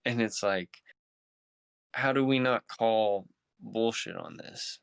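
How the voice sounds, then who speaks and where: A man with a low, smooth voice hosts a casual podcast, recorded close and dry. His phrasing is laid-back and slangy, with the odd swear word. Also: takes it slowly, dry recording. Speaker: podcast host. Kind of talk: casual chat.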